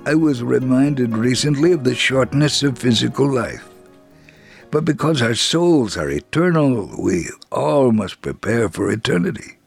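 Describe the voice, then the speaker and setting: Gravelly, devout storyteller. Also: male voice, mid-range voice, lively intonation, unhurried, faint music behind. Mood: solemn, reflective. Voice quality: gravelly, husky. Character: devout, gentle Holocaust survivor sharing his faith. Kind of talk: dramatic first-person reading.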